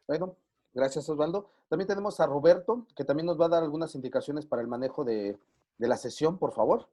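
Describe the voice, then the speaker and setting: A man's voice: a little high, fairly quick, mellow. A man hosting an online group session as life coach, chatting casually with frequent fillers over a video call.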